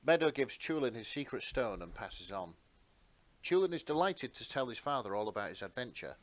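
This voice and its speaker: A man's voice: medium pitch, sing-song, fairly quick, no room sound. A man narrating fan fiction as a podcast co-host in a dramatic storytelling style.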